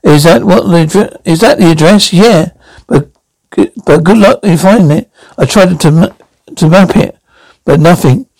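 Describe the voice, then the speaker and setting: A man's voice: high-pitched, a little slow, creaky, darkish tone, dry recording, rough recording. A man retelling a story and reading its dialogue, stumbling with many restarts.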